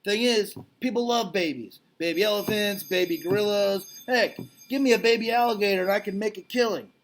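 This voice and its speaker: Brash, short-fused showman voiced by a male reader, storybook villain, high-pitched and loud, folksy hustler's bluster. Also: brisk, slight background noise, lightly acted, low-quality recording. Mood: boastful, salesmanlike. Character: brash, greedy, bad-tempered male mall circus owner.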